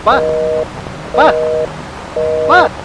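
Un hombre joven que interpreta a un hijo angustiado que intenta calmar a su padre en un drama de terror. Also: male voice, slow, natural voice, poor audio. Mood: alarmed, pleading. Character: anxious grown son pleading to calm his panicking father. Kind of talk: horror story dramatization. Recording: music behind the voice.

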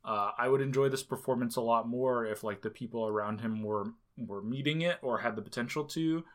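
A relaxed podcast co-host talks through a horror film review in a loose, rambling way. The voice sits in a middle pitch, and the thoughts come out in halting bits joined by fillers. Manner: rambling, heavy on filler words. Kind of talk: casual movie review chat.

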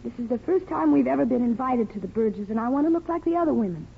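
A woman's voice: a little high, lively intonation, fast, creaky, slight background noise. An actress playing a spirited, affectionate young wife and mother in a 1950s radio drama. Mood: playful, affectionate. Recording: tinny old radio recording, music behind.

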